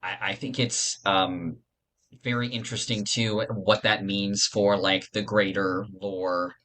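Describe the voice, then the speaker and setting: A man chatting casually as a podcast panelist, haltingly thinking aloud. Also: fairly low pitch, flat delivery, pushed and bright. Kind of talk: casual fan discussion.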